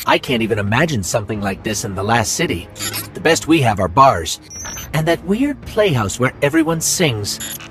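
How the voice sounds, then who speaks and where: A man's voice: medium pitch, natural voice. An actor playing an earnest, curious male robot companion in a video game, thoughtful and polite.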